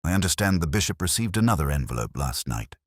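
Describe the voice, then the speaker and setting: Picture a shrewd, wry police inspector in a storybook mystery, read out by a man, asking questions in a quiet, measured way. The voice sits very deep and a little husky. It sounds close and dry. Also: sing-song, no echo, full character voice. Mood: calm. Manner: quiet, measured, lightly probing.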